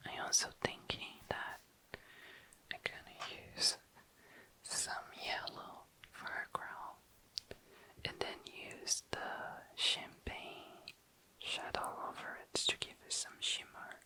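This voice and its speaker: A woman softly whispering in an unhurried way, narrating a craft session for an ASMR video in breathy, unvoiced speech. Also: low-quality recording. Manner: soft, unhurried, repeats phrases.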